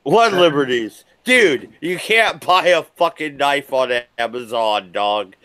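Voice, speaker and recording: A man's voice: a little high, lively intonation, slow, sharp tone, no echo. An American man on a live stream, tipsy and slurring a little, swearing and joking in casual banter. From United States.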